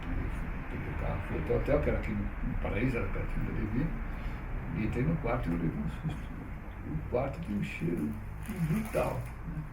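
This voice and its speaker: A man's voice: mid-range voice, lively intonation, fast talker, creaky. A middle-aged man, telling stories in an interview in a chatty way, often tagging his sentences with a question. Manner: chatty, frequent "né?" tags, restarts. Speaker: interviewee, publisher. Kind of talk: relaxed conversational storytelling. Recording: roomy, distant mic, faint noise.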